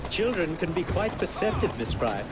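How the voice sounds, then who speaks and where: An actor playing a dignified, shrewd exiled Indian king in a video game, speaking in a formal, measured, courtly way. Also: high-pitched, sing-song, noisy background. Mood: gentle, curious. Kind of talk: video game cutscene acting. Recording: loud music behind the voice.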